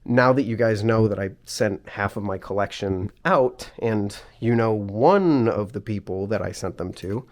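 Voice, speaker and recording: A man's voice: lowish voice, measured pace, few pauses, darkish tone. Stuffy-nosed man chatting casually. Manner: chatty and rambling, often restarting sentences. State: stuffy, plugged-up nose from a cold. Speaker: host of a knife channel. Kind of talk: casual vlog chat.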